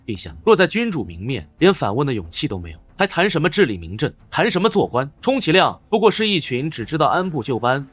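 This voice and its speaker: A man's voice: fairly high pitch, very animated, quick pace, few pauses, fairly bright tone, slightly rough, no room sound, full character voice. A man voicing a defiant, ambitious young former beggar in a Chinese period drama, speaking boldly and with self-assurance. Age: young adult.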